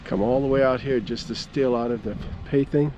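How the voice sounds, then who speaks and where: A man with a relaxed, mellow voice of medium pitch talks casually as he walks around outdoors. He is folksy and plain-spoken, rambling from one remark to the next. A little ambient vehicle noise sits behind him on the outdoor recording. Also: a little fast, muffled recording. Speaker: amateur radio vlogger. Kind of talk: casual walk-around vlog narration. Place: outdoors at a campground.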